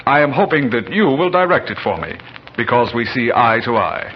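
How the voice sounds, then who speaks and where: An actor playing an earnest, high-minded publisher dictating a letter with solemn deliberation in a 1940s radio dramatization. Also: male voice, very animated, normal speed, loud music behind the voice, lightly acted. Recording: dull, noisy 1940s radio recording.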